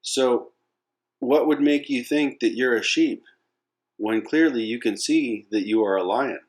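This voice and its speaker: A man's voice: medium pitch, unhurried, pitched above the performer's own voice, natural voice. A man voicing a big adult lion in a told fable, an insistent mentor who questions and urges emphatically. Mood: persuasive. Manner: emphatic, urging.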